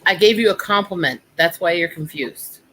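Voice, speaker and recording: A woman's voice: fairly low pitch, normal speed, fairly bright tone, breathy edge, low-quality recording. A woman hosting a live art stream, teasing her co-hosts in a dry, blunt way. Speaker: stream host and artist.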